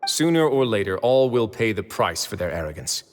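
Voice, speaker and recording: An actor playing a stern, composed duke of a prison fortress in a video game, speaking with measured authority. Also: male voice, fairly low pitch, very animated. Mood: brooding, calculating. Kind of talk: dramatic video game voice acting. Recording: long hall reverb, faint noise.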